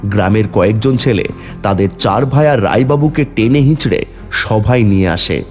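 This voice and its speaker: A man's voice: lowish voice, expressive, normal speed, darkish tone, faint music behind. A man narrating a scripted folk tale in a dramatic storytelling style.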